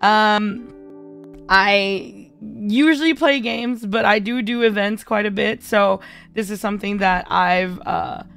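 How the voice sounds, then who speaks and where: A woman streamer with a hoarse voice, singing a ballad and then chatting with viewers in a bubbly, giggly way. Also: mid-range voice, slow. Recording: faint piano backing music. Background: soft piano backing during the song.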